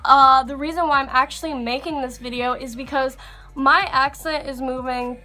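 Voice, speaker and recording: A woman's voice: sits high, fairly even intonation, moderate pace, bright, forceful tone. A young woman vlogging to her camera in a casual, chatty way, with an international English accent that is mostly American with a touch of Australian. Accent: third culture kid English, mostly American with some Australian. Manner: casual and chatty, with fillers and likes. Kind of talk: sit-down chat to camera.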